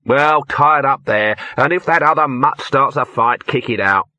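A man's voice: mid-range voice, normal speed, pushed and bright, no room sound, voice pushed up. A man voicing a brusque young male assistant who gives curt orders.

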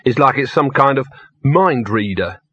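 A man's voice: medium pitch, lively intonation, moderate pace, sharp tone, slightly rough, highs cut off, voice pushed up. A man voicing an irritable, uneasy working animal trainer in a comic fantasy audiobook. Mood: wary, annoyed.

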